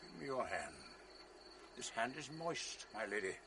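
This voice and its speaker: An actor playing a jealous noble general in a radio drama, grave and commanding with a resonant stage delivery. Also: male voice, medium pitch, sing-song, unhurried, sharp tone, a little hiss or hum, heavily acted. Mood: brooding. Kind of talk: 1980s radio drama acting.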